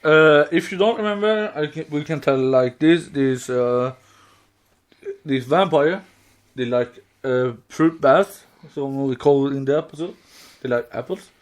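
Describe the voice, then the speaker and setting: A man with a Swedish accent chatting as a podcast co-host, halting as he searches for words in English. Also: fairly high pitch. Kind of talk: casual fan podcast chat.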